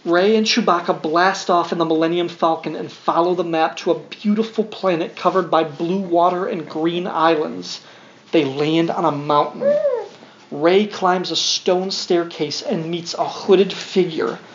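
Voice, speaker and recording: A man's voice: fairly high pitch, steady tone, a little slow. A man reading a children's storybook aloud to his young son, with playful, wry side comments. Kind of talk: read-along children's storybook narration with casual asides. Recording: dull lo-fi sound, faint background noise.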